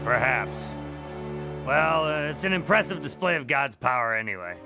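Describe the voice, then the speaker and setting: A man's voice: mid-range voice, big pitch swings, normal speed, natural voice. A man playing a skeptical, sarcastic modern time traveler in an audio drama, talking in a dry way. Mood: grudgingly impressed. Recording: loud dramatic music underneath.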